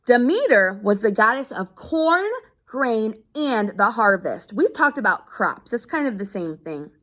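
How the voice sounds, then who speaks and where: High, lively-pitched woman reading aloud. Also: measured pace. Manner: explains simply, as if to children. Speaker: teacher reading a children's book aloud. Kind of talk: read-aloud lesson with simple explanatory asides.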